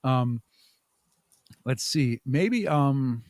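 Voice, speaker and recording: A man's voice: medium pitch, animated, extremely slow, a little mellow, no echo, clean studio sound. Casual man chatting as a podcast guest. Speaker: podcast guest, audio drama creator and podcaster. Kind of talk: casual interview chat.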